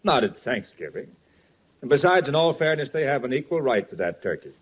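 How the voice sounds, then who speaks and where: A man's voice: mid-range voice, very animated, brisk, breathy edge. An actor playing a middle-aged American father in old-time radio comedy, formal, reasonable and easily exasperated. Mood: reasonable, courteous. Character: middle-aged suburban father, reasonable but increasingly indignant.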